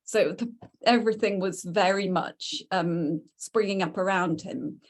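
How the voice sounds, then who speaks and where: A woman's voice: mid-range voice, a little slow. A British woman giving an informal, reminiscing talk as a guest speaker, hesitant and often repeating words as she goes.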